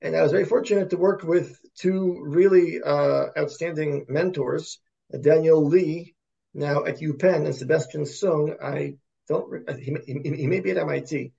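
A native Russian-speaking man talks as an academic podcast guest in a creaky, fairly high voice. He answers conversationally, often doubling back on his words. The recording is dull and lo-fi. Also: steady tone, dark tone. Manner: halting, repeats words and restarts often. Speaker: podcast guest, computer science professor.

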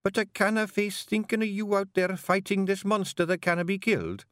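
A man voicing a small, stern female pixie clan leader with a sharp, commanding Scottish voice. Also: fairly high pitch, animated, normal speed, no echo, clean studio sound, voice pushed up. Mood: pleading. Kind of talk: audiobook character voice.

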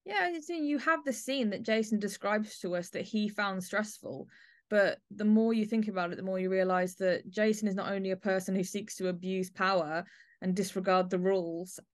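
A woman hosting a podcast, discussing drama in a measured, analytical way. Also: mid-range voice, dry recording, clean studio sound. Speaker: podcast host. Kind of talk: discussing an audio play.

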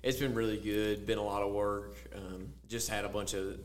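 A man's voice: lowish voice, moderate pace. Man telling stories with a faint hum behind. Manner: storytelling, animated quotes, filler-heavy. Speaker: podcast co-host. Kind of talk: casual faith podcast chat.

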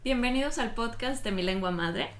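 A woman, hosting a podcast interview and asking questions in a measured, friendly way with many restarts. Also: a little high. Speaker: podcast host.

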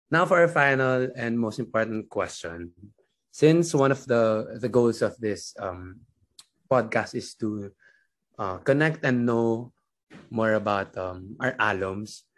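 A young Filipino man with a Filipino accent hosting a casual interview, hesitant with many fillers as he frames questions. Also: mid-range voice. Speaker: podcast host.

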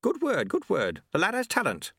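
A man's voice: sits high, wildly swooping pitch, normal speed, a bit bright, husky, no room sound, studio quality, pitched above the performer's own voice, heavily acted. A man voicing an old male sweeper monk, blunt and folksy, who mutters and drops his grammar. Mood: confiding.

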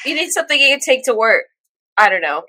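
A woman's voice: high-pitched, big pitch swings, fast, sharp tone. A young woman co-hosting a podcast, chatting casually in a playful, teasing way and running through the episode list. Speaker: podcast co-host. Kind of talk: casual chat.

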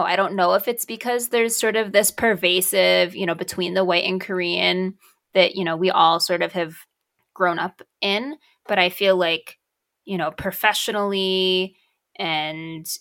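A mother talking in a casual conversation, quick and self-deprecating, with frequent laughs. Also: on the low side, fairly bright tone.

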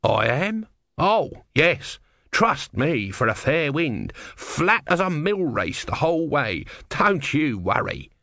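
A man's voice: on the high side, very animated, takes it slowly, pushed and bright, dry recording, clean studio sound, pitched above the performer's own voice, exaggerated voice. Man voicing a grumpy, sardonic tortoise god. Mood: boastful. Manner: snappish, complaining, irreverent.

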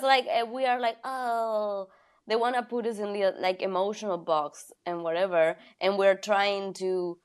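A woman in casual conversation who talks bluntly, swears freely, stops to search for words and laughs through her stories. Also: lowish voice. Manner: laughs while telling stories. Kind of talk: casual chat.

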